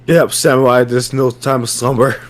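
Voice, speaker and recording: A man's voice: medium pitch, fairly even intonation, brisk, natural voice. A man playing a bossy, impatient voice that gives terse orders to a story's hero. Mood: urgent. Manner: terse, imperative commands.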